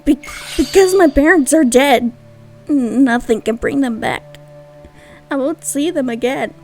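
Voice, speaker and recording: Woman voicing a stammering, tearful little boy, high-pitched. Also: unhurried, faint music behind. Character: frightened, grieving little orphan boy of about five.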